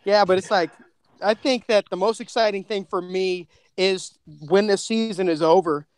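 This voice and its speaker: A man's voice: high-pitched, moderate pace, clear, resonant tone, dry recording. A man chatting casually on a podcast as its co-creator, telling stories in a rambling way.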